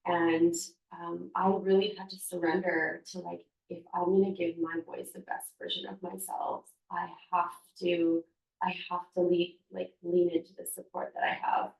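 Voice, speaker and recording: Woman in her 30s, lowish gravelly voice, talks slow and halting, kinda far from the mic in an echoey room. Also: little pitch movement, dull audio. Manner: soft-spoken, halting, searching for words. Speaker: podcast guest. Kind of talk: reflective personal conversation.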